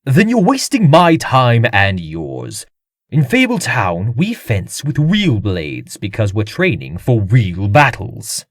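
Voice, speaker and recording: A man acts out a haughty aristocratic swordmaster for a comic dub. His pitch swings wildly as he taunts and scolds with blunt contempt. The sound is dry and close. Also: wildly swooping pitch, slow pace, dry recording, heavily acted. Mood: stern, taunting. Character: haughty, boorish, menacing aristocrat fencing master.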